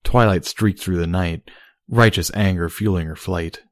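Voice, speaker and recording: A man narrates fiction from a script. His voice is fairly low, and the recording is dry, with very little room sound. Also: moderate pace, no room sound. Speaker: story reader.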